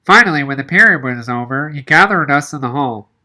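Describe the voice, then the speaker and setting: Bright-voiced woman reading a story aloud. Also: low-pitched, expressive, a little fast. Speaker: reader of a children's novel. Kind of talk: audiobook-style read-aloud narration.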